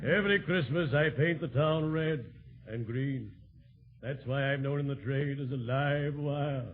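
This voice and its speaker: An actor playing a genteel, ghoulishly cheerful undertaker with a formal, drawn-out deadpan delivery in 1940s radio comedy style. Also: male voice, mid-range voice, lightly acted. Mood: mournfully jovial. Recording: noisy, narrowband 1940s radio recording.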